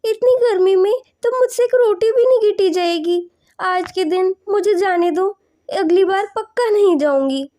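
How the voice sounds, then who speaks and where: A woman performs a young girl from a cartoon moral story. The voice is extremely high yet mellow, with a complaining, pleading manner that wheedles like a child. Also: moderate pace. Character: young daughter, whiny and pleading about the heat. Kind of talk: animated moral story dialogue.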